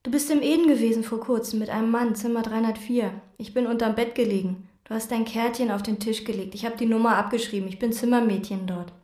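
Actress plays a hesitant hotel maid, higher voice, roomy echo. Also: female voice, steady tone, quick pace, few pauses, dark tone, lightly acted. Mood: probing. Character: nervous, obsessive young hotel maid. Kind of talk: radio drama acting. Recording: roomy studio reverb.

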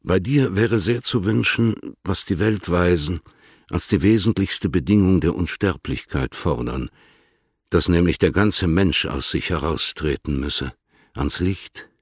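A dignified older man of letters in a radio play. His low voice is gravelly and husky. He speaks in formal, deliberate phrases, fond yet instructive. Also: no echo, clean studio sound, exaggerated voice. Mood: earnest counsel. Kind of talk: literary radio drama, formal letter reading.

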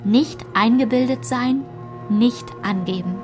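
A woman's voice: fairly high pitch, measured pace, lightly acted. A woman reading scripted literary narration as the narrator of a radio play. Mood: measured. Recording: music behind the voice.

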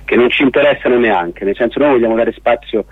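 A man from Rome, explaining an event as a radio guest in a halting, wordy way with frequent rephrasing. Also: mid-range voice, dry recording, phone-quality sound. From Rome, Italy. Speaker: festival organiser, radio guest.

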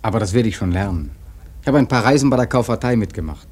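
An actor playing an earnest young sailor in a radio play, soft-spoken, polite and respectful. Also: male voice, lowish voice, lively intonation, fast talker, breathy edge. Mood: eager, polite. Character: eager, polite young sailor seeking a whaling berth. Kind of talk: German radio play acting.

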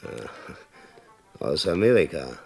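An actor playing a curious, reserved visiting American newspaper reporter in a 1960s German radio western. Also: male voice, a bass voice, big pitch swings, slow, gravelly, mellow, slightly rough, heavily acted. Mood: curious, dry. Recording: vintage mono radio drama with music.

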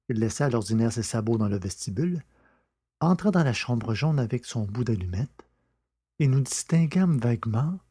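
A man's voice: mid-range voice, warm tone, husky, dry recording, studio quality. A man reading scripted literary narration as the narrator of an audiobook.

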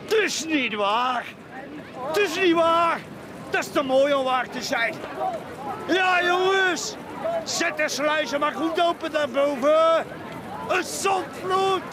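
A man's voice: very high voice, lively intonation, slow, long pauses, a crowd in the background, full character voice. An actor with a Flemish accent playing a bitter, boastful, drunken middle-aged man in a radio play. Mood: gleeful, mocking. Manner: rambling, repetitive, cackling, tipsy. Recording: radio play with clear outdoor background noise.

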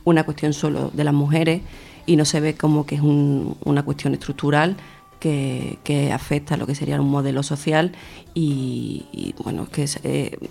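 A woman's voice: low voice, little pitch movement, moderate pace, gravelly, dark tone. A young adult woman answering as an interview guest in spontaneous, somewhat hesitant phrases, searching for words. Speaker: interview guest, feminist activist.

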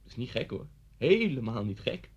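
A man's voice: mid-range voice, sing-song, moderate pace, husky. An actor in a Dutch radio play, playing a talkative, coolly unsettling policeman who speaks politely and insinuatingly. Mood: chatty, needling. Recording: dull, muffled old radio recording.

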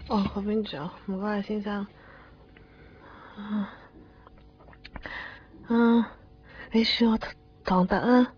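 A young woman playing a mysterious, hesitant and excitable phone cleaner in a radio drama, with a Hong Kong Cantonese accent. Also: mid-range voice, takes it slowly, long pauses, a little hiss or hum, lightly acted. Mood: polite, hesitant. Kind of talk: 1990s radio drama acting. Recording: dull lo-fi radio recording, faint music.

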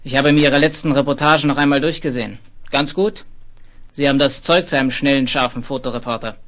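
An actor playing a shrewd, middle-aged editor-in-chief in a 1970s German radio play, curt and businesslike. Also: male voice, mid-range voice, quick pace, fairly bright tone, lightly acted. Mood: brisk, approving. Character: brisk, shrewd magazine editor-in-chief, a commanding boss.

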